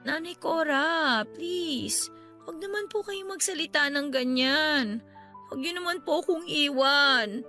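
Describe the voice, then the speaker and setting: A woman's voice: high voice, slow, pushed and bright, soft background music, full character voice. A woman playing a devoted teenage girl in a Tagalog radio drama, speaking respectfully with earnest emotion. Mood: pleading, tearful. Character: devoted, pleading teenage girl caring for her sick nanny.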